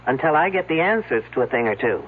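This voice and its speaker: An actress playing a wisecracking, hard-nosed police detective in a 1970s radio drama, sardonic and probing. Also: female voice, rumbling low, big pitch swings, quick pace. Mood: probing, dry. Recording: muffled lo-fi radio, humming noise.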